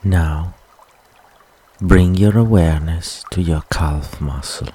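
A man leading a guided relaxation meditation with soft, soothing, unhurried instructions and long pauses. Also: deep voice, slightly rough.

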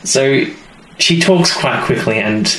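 A man's voice: medium pitch, expressive, slow, a loud crowd. A man co-hosting a podcast, recapping a story in casual, informal chat and acting out bits of dialogue. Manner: informal storytelling, acts out quotes. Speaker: podcast co-host. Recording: roomy echo, faint background noise.

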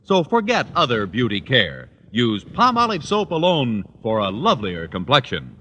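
Male American announcer for vintage radio ad: forceful, punchy, highly animated, raised pitch. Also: normal speed. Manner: emphatic, punchy salesmanship. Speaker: commercial announcer. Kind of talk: 1940s-50s radio advertising pitch. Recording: slightly noisy vintage radio recording.

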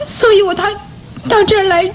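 A repentant young orphan girl in a radio drama. Her female voice is high, timid and hesitant, with an earnest, pleading manner. Faint noise sits behind her. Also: fairly even intonation, fairly quick. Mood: earnest, hopeful.

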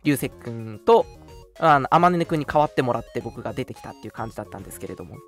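A man's voice: mid-range voice, expressive, rapid-fire, soft background music. A young man hosting a casual radio show, chatting politely with some self-deprecation. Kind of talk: casual radio chat and announcements.

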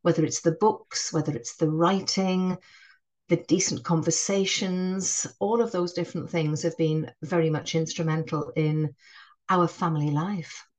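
Woman, middle-aged, Northeast English accent, lowish pitch, reflective, restarts sentences. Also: fairly even intonation, a little slow, rough recording. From Northeast England. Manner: warm, reflective, frequent restarts. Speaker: head teacher, guest. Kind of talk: conversational podcast interview.

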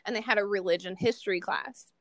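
A woman's voice: medium pitch, quick pace, fairly bright tone, no room sound, rough recording. A young American woman chatting casually as a podcast host, laughing often and poking fun at herself. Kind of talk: casual chat.

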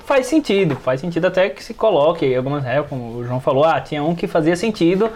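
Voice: medium pitch, big pitch swings, fast, darkish tone, a little hiss or hum. A person with a Brazilian accent chatting informally and colloquially on a tabletop games podcast. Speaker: podcast panelist.

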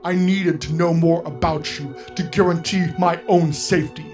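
A man's voice: fairly high pitch, steady tone, moderate pace, darkish tone, lightly acted. A man playing an earnest, guarded alien telepath superhero in a radio play, with formal, dramatic delivery. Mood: solemn. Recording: music playing under the voice.

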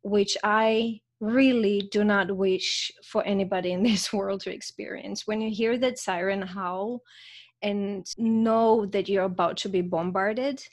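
A woman's voice: mid-range voice, steady tone, measured pace. Croatian-accented woman telling her story. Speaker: podcast guest, author. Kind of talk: conversational personal storytelling.

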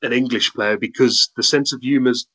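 A man's voice: mid-range voice, steady tone, moderate pace, pushed and bright, poor audio. Cheerful South African man telling stories. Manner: cheerful, anecdotal storytelling. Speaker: guest, content creator. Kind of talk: casual interview chat.

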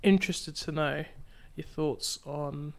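A young woman hosting a casual podcast chat, chatty and quick to laugh as she steers the conversation. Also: low voice, steady tone. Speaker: podcast host and youth ministry leader.

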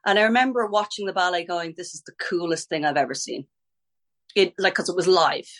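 A woman's voice: lowish voice, a little fast. A middle-aged Irish woman, a ballet teacher, chatting in a podcast interview, talking freely, restarting sentences and laughing easily. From Ireland.

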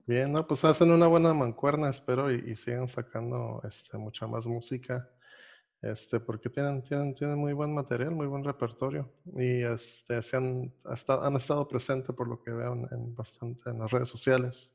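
A man's voice: medium pitch, fairly even intonation, moderate pace, creaky, darkish tone, dull audio. A Costa Rican-born man, hosting a casual interview, laid-back and rambling, laughing often. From Costa Rica. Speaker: podcast host.

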